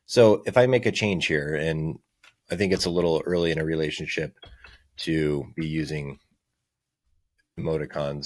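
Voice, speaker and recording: A man narrating a casual screencast tutorial as the presenter, explaining things step by step. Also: a bass voice. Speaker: software demo presenter.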